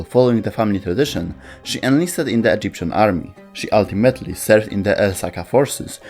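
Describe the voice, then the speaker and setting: A man with a fairly low voice delivers scripted narration for a gaming video. He speaks as the host and narrator, with faint music running underneath. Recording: music behind the voice. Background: background music.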